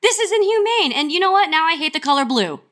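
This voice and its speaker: High, shrill, frazzled songwriter. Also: female voice, very animated, quick pace, fairly bright tone, full character voice. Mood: indignant, frantic. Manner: shrill, emphatic. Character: frazzled songwriter near breakdown, indignant and high-strung. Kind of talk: comedic audio drama acting.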